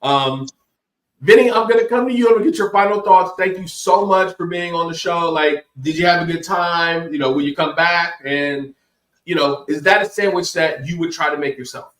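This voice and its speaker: A man's voice: a little high, moderate pace, a bit bright. A man hosting a casual cooking podcast, joking around and plugging the network's other shows. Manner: joking, hypes upcoming shows. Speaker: podcast host. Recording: roomy, distant mic.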